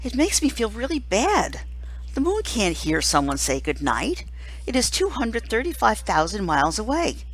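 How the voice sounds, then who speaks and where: A woman's voice: on the high side, huge pitch swings, moderate pace, slightly rough, voice pushed up, heavily acted, rough recording. A woman reading a storybook and voicing a blunt, precocious kindergarten girl who talks in an earnest, pedantic way. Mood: blunt, indignant. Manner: earnest, matter-of-fact, pedantic.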